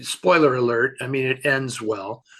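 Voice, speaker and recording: A man chatting casually as a film expert answering questions, halting and thinking aloud as he offers his opinions. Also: mid-range voice, fairly bright tone.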